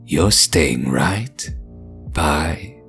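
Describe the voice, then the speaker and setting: Brief: a man doing an ancient male curse demon for an audio roleplay, deep and gravelly, menacing and possessive, lively swoops in a slow drawl. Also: faint music behind, full character voice. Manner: low, commanding, threatening.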